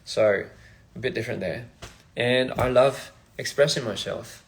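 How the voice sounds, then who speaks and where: A young man from Australia, a musician chatting casually on a phone livestream in a halting, rambling, soft-spoken way. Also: mid-range voice, gravelly, low-quality recording. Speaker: musician streaming live. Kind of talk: casual live-stream chat.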